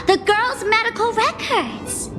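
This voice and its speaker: A mischievous young trickster from a video game. She is high-voiced and theatrical, drawing out her words in a slow, swooping tease. Loud music plays behind her. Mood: intrigued. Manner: teasing, dramatic, giggly. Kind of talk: video game character voice acting.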